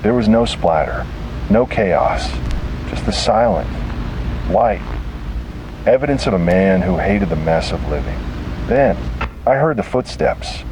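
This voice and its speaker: A man reading a scripted horror story slowly and deliberately, with dramatic pauses. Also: low voice, very animated, background noise.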